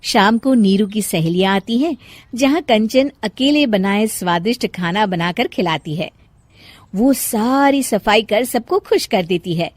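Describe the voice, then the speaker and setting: A woman's voice: medium pitch, expressive, measured pace, no room sound. A woman storyteller reading scripted narration for a moral bedtime story.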